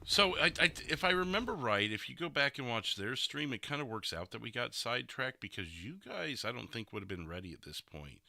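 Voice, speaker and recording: A man's voice: mid-range voice, big pitch swings, brisk, vocal fry, hardly any pauses, sharp tone, no echo. A man hosting a livestream podcast, chatting casually and loosely while looking back over recorded gameplay.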